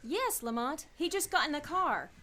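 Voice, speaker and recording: A devoted, anxious companion, played by a woman in a radio play. Her voice is high and bright, worried and pleading. A faint hiss sits under the recording. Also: lively intonation, moderate pace. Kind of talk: amateur radio play acting.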